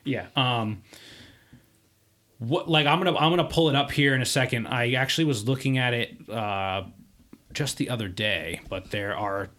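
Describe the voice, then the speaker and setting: A man's voice: mid-range voice, normal speed, fairly bright tone. Chatty man hosting a cycling podcast. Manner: loose, filler-heavy, enthusiastic about cycling. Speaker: podcast host. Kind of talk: casual chat.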